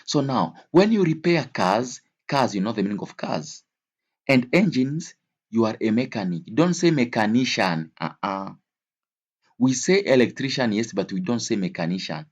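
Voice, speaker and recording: Husky man teaching vocabulary. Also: mid-range voice, sing-song, lo-fi sound. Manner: didactic, repeats key words slowly and clearly; switches between English, Swahili and French. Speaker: English teacher. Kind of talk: language lesson, explaining vocabulary with examples.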